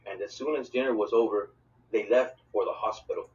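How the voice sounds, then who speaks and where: A man reading a children's novel aloud as an expressive, dramatic narrator. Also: on the low side, brisk. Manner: dramatic, voices dialogue for characters. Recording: distant mic, dull lo-fi sound.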